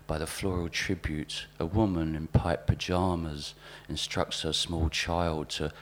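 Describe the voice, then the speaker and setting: A man reading his observational pieces to a live audience in a wry, deadpan way. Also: rumbling low, measured pace. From South East London, England. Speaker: writer and performer reading own pieces. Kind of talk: spoken-word reading of overheard snippets to a live audience.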